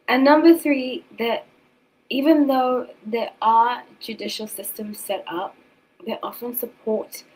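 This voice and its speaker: A woman's voice: medium pitch, poor audio. A woman in her thirties from South Africa and England with a British accent, hosting a casual livestream in a rambling way, repeating words for emphasis. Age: 30s. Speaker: tarot reader and streamer host. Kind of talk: casual livestream chat with commentary.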